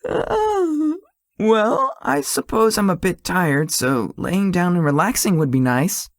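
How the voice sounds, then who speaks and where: A woman's voice: on the low side, big pitch swings, slow pace, no room sound, studio quality, full character voice. A woman voicing a shy, easily flustered, sweet young man in a visual novel, with a hesitant manner. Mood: shy, bashful. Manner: hesitant, stammering when embarrassed. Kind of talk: visual novel character acting.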